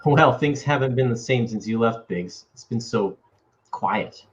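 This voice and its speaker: A man voicing a restless, excitable young farm boy in an amateur table read of a film script. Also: medium pitch, measured pace, dull audio, lightly acted. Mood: wistful. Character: restless young farm boy, eager but stuck at home.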